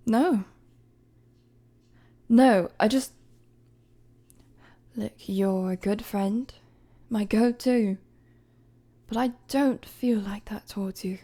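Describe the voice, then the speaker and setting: A woman plays a young queen in an intimate audio drama, regal yet weary and guarded. Her voice is mellow and breathy, and she speaks slowly, with formal, measured words. She addresses the listener directly, firm beneath the softness. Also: a little high. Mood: awkward, pitying. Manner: measured, hesitant, formal wording. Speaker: audio roleplay performer.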